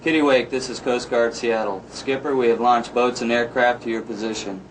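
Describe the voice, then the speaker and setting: Actor as male coast guard watchstander: even, unemotional, official delivery, distant from the mic over clearly noisy radio. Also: mid-range voice, natural voice. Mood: steady, instructive. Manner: measured, formal, unemotional. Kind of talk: dramatized marine radio exchange.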